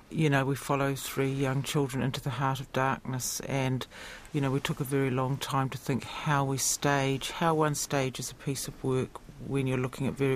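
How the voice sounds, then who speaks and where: A man speaking as a theatre director in an interview, reflective, searching for phrases in a halting way. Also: mid-range voice, a little hiss or hum.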